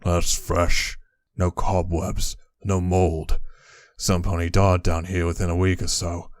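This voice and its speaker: Grim raider stallion for audio drama, male actor: very low, flat delivery, bitter and blunt. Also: takes it slowly, no echo, studio quality. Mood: grim, matter-of-fact. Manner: scornful, blunt. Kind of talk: dramatic character acting in an audio story.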